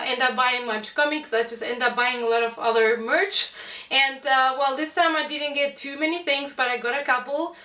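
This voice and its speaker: A woman's voice: high-pitched, pushed and bright. A woman chatting casually to viewers as a vlog host, enthusiastic and talkative. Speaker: video host showing a convention haul. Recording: roomy echo, distant microphone.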